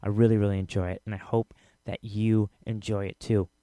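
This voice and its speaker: A man's voice: on the low side, little pitch movement, a little fast, warm tone, no echo. A man hosting a podcast, giving a casual solo introduction. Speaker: podcast host.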